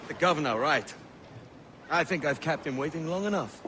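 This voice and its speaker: Brash, roguish young British pirate, higher-pitched. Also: male voice, moderate pace, bright, forceful tone, slight background noise. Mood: easy, confident. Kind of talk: video game cutscene acting.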